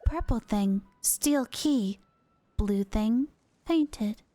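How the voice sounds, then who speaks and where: Woman as a shy, halting little sea creature. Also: a little high, animated, dark tone, faint music behind, voice pushed down. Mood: halting, earnest. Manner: halting one or two word phrases. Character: shy, gentle female sea creature, simple-minded, halting. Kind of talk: video game dialogue read aloud.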